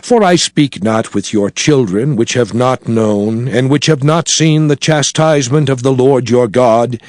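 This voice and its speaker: A man playing a solemn prophet exhorting his people in a measured, declamatory scripture drama. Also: mid-range voice, no room sound, close to an everyday voice. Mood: solemn exhortation. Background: music.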